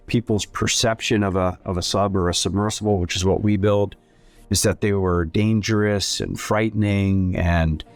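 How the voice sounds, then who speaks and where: A man, telling his story as an interview guest in a measured, earnest way. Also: fairly low pitch, fairly even intonation. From Canada. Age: 60s. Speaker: interview guest, submarine company founder. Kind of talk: conversational personal storytelling.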